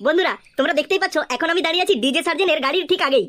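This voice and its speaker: A man voicing a naive, boastful young village vlogger in a comedy cartoon, with a West Bengal accent and animated delivery through a pitched-up effect. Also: rapid-fire, over loud music. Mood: eager presenting. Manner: animated, exclamatory. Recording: clearly noisy track with clicks.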